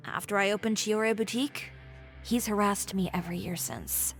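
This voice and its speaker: An actress playing a proud, sharp-tongued young woman fashion designer in a video game, with a composed, assertive delivery. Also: medium pitch, fairly even intonation, normal speed, soft background music, lightly acted. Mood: composed, reflective.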